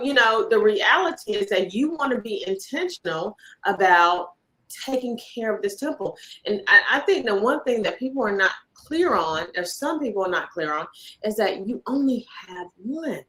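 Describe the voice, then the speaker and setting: A woman hosting a casual interview chat, animated and repeating phrases for emphasis. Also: mid-range voice, moderate pace, low-quality recording. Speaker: podcast host.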